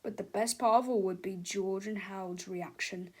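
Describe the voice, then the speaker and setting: A woman's voice: medium pitch, steady tone, normal speed, a little mellow. A woman reading a children's book aloud as scripted narration.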